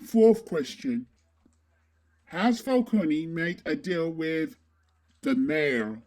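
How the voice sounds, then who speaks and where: A woman playing a terse, brooding masked vigilante who questions curtly and deliberately in a fan audio drama. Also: deep voice, animated, a little mellow, exaggerated voice. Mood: demanding.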